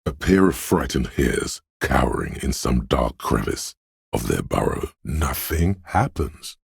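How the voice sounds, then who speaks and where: An actor voicing a video game's primal fight-or-flight instinct, a menacing, paranoid inner voice that goads in a deep, gravelly rasp, slow and ominous. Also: male voice, sing-song, no room sound, clean studio sound, exaggerated voice. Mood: ominous dread. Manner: slow, ominous, goading, profane. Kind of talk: dramatic video game voice acting, second-person inner voice.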